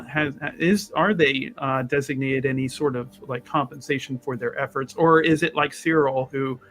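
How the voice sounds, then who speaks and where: A man playing a tabletop game, improvising third-person narration for his character in wordy, halting sentences. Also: medium pitch, a bit bright, poor audio. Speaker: tabletop roleplaying player.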